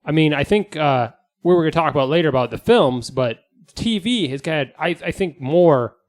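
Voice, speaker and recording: A man's voice: a little high, animated, moderate pace. A man hosting a podcast in casual, joking group chat, rambling and correcting himself as he goes. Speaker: podcast host.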